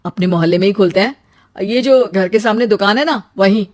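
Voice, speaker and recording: Lady doing a young husband guy for a cartoon, earnest, medium pitch. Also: a little fast, lightly acted. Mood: pleased. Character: earnest young husband, plain-spoken, easily let down.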